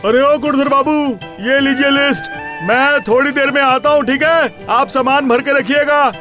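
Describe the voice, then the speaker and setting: A man voicing a brisk, blunt male customer in a cartoon moral story. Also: extremely high pitch, fairly even intonation, music in the background.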